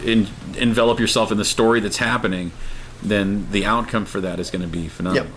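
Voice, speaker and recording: A man co-hosting an online show, chatting casually and off the cuff in a jokey, animated way. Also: lowish voice, quick pace, background noise. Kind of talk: casual unscripted discussion.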